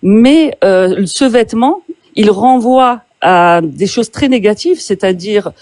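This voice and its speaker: A French-born woman interviewer asking pointed questions in a debate, speaking haltingly with many fillers and restarts. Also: medium pitch, animated, a little mellow, no echo. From France.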